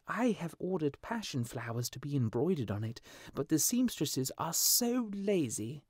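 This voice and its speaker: A man's voice: a little high, sing-song, moderate pace, darkish tone, dry recording, studio quality, voice pushed up. A man voicing a vain, fussy young woman in a storybook reading.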